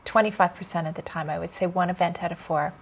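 Chatty, agreeable woman hosting, lowish voice. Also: fairly even intonation, quick pace, slight background noise. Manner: agreeable, chatty, frequent affirming interjections. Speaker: podcast host. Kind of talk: conversational interview.

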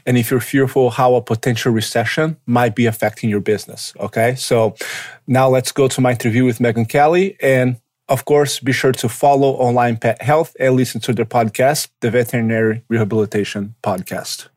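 A man's voice: medium pitch, steady tone, fairly quick, darkish tone. A man hosting a podcast, introducing an episode and speaking directly to the listener. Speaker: podcast host.

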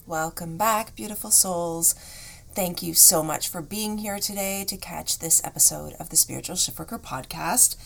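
Encouraging solo podcast host, woman, lowish voice, slightly rough, faint music under it. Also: normal speed. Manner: warm, encouraging, chatty.

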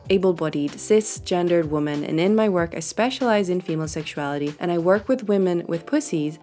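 Congested, nasal woman hosting a podcast. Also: fairly low pitch. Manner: warm-hearted, frank and chatty, with fillers and laughs. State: congested nose. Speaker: podcast host and sexuality coach. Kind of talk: solo podcast monologue.

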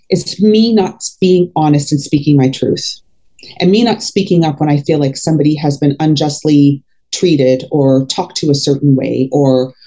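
A woman's voice: lowish voice, warm tone, breathy edge. A woman in her fifties talking candidly in an interview, outspoken and emphatic. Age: 50s. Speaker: podcast guest, fitness coach.

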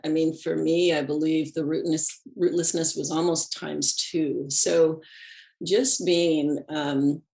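A middle-aged woman with a low, gravelly voice speaks as a podcast guest. She talks in a thoughtful, unhurried way and laughs softly between thoughts. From United States. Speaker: podcast guest, animist and ritualist. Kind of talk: reflective conversational interview.

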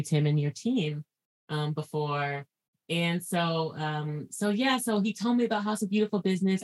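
A woman's voice: fairly low pitch, darkish tone. A woman hosting a podcast interview, effusive and chatty, laughing often and restarting her sentences. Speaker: podcast host. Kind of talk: casual interview chat.

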